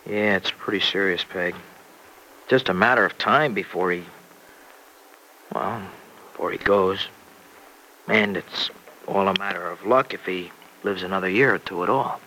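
A man's voice: low voice, measured pace, pauses often, bright, forceful tone. An actor playing a blunt, loyal young American man in an old-time radio serial, colloquial and defensive. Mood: somber. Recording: noisy old radio broadcast recording.

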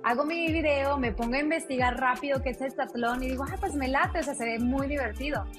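A young woman with a Mexican accent recounts her story as a talk-show guest, slipping into the voices of the people she quotes. Her voice sits a little high, and music plays steadily underneath. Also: brisk. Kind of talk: casual interview chat.

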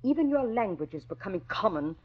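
A lo-fi vintage radio drama recording of a domineering society matron. She is middle-aged, husky and imperious, scolding in grand, swooping tones. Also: mid-range voice, big pitch swings, fairly quick. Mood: indignant. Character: domineering, sharp-tongued wealthy society matron and mother. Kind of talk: 1940s radio drama acting. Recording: dull, lo-fi old radio recording.

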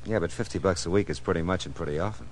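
An American actor playing a wry, world-weary private detective in a clipped, hardboiled 1940s radio style. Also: male voice, low-pitched, very fast, a little hiss or hum, close to an everyday voice. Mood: pressing. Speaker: lead actor and narrator. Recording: old radio show with music.

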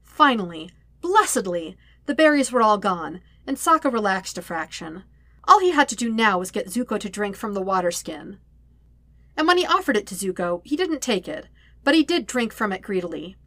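Female audiobook-style narrator, expressive and wry, mid-pitched with animated melody, reading scripted fiction. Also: moderate pace, no room sound. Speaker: podfic narrator.

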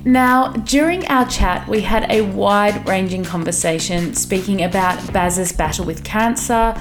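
A woman hosting a podcast, bubbly and effusive, with a loud, projecting voice. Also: medium pitch, measured pace, over music. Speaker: podcast host. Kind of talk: podcast intro and interview. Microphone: studio microphone.

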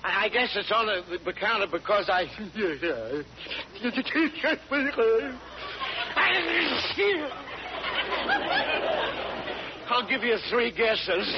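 An actor voicing a sickly, timid, silly dwarf with a hesitant stammer in 1940s radio comedy style. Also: male voice, very high voice, huge pitch swings, extremely slow, long pauses, a loud crowd, exaggerated voice. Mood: bashful, silly. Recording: dull noisy old radio broadcast, audience behind.

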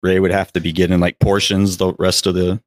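Man, low-ish register, dry close recording, joking casual fan chat. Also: flat delivery, a little fast, dry recording. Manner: informal, joking, sweary. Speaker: podcast co-host.

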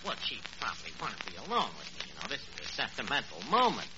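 Dim New lug, noisy old radio. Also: male voice, medium pitch, wildly swooping pitch, highs cut off. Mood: sheepish. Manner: halting, muddled, mangling words. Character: dim, bluffing, uneducated working-class city man. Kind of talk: 1940s radio comedy acting.

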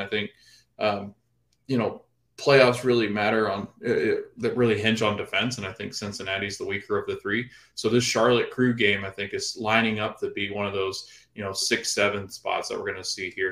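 Lower male podcast co-host, roomy sound; casual soccer analysis, hedges with lots of "I think" and "um". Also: flat delivery, brisk, a bit bright. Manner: hedging, many fillers and restarts. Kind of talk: casual sports chat.

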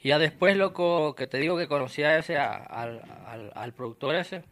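Laid-back young Salvadoran man, slightly high. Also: normal speed. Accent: Salvadoran Spanish. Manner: relaxed, says "loco" often, hesitant restarts. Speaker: podcast guest, rapper. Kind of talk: casual chat.

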